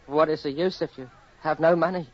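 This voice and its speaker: A man's voice: on the high side, steady tone, fairly quick, lightly acted. An actor playing a timid, starving young man in a radio horror drama, hesitant and plaintive. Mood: timid, hungry. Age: young adult. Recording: muffled, noisy, echoey old radio recording.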